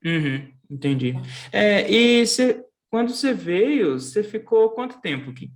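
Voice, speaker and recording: A podcast host with a Brazilian accent asks loose, rambling questions in a relaxed, friendly chat. The voice sits at a middle pitch, and the host often breaks in with short sounds of agreement. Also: unhurried, a little hiss or hum. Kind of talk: casual interview chat.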